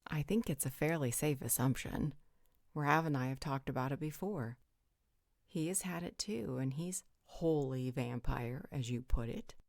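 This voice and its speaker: Actress as worldly blood-drinking woman, low voice, blunt, teasing, dry close recording, roleplay audio drama. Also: measured pace, darkish tone, no room sound, full character voice. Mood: calm, reasoning.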